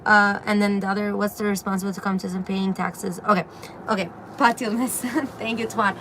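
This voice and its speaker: A young woman from Syria talks into her phone on an outdoor balcony, answering viewer questions in a relaxed, chatty ramble full of fillers. Her voice sits at an ordinary middle pitch. Faint traffic hum drifts in behind her. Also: brisk. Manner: chatty, reads viewer comments aloud, many fillers. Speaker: livestream host. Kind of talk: casual livestream Q&A. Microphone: phone camera at arm's length.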